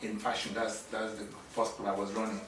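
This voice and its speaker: A middle-aged man from Nigeria, answering interview questions in a halting way with many fillers and restarts. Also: fairly low pitch, completely flat delivery, fairly bright tone. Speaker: interviewee, businessman. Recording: echoey room, mic at a distance.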